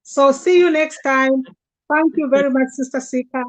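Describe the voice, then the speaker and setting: Woman host on a livestream, kinda higher voice, earnest and gushing, doing a chatty product pitch. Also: fairly even intonation, moderate pace, rough recording. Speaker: host promoting health products.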